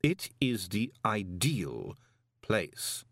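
Narrator playing a cool, menacing ruler; level, measured, dry close sound. Storybook. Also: male voice, lowish voice, vocal fry, no echo, heavily acted. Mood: cold. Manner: calm, measured, quietly menacing. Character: calm, icy, commanding male ruler.

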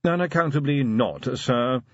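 A man voicing a deferential, unflappable butler in an audiobook, precise, measured and politely dry. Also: medium pitch, no room sound, lo-fi sound, voice pushed up, lightly acted. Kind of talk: audiobook character acting.

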